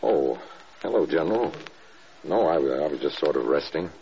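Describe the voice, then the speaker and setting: A man's voice: very deep voice, animated, normal speed, warm tone. An American man acting a stoic injured colonel in a mid-century radio drama, speaking with dutiful understatement. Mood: subdued, stoic. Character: stoic injured male colonel in hospital bed. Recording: muffled old radio with faint noise.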